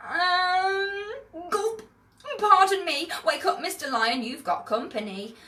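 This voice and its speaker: A tiny storybook mouse acted out by a woman speaks in a high, squeaky, bright voice. He is timid and polite but plucky and eager, and hesitates before he speaks. The microphone is slightly distant. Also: slow, roomy sound, heavily acted. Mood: nervous, polite. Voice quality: squeaky, peeping.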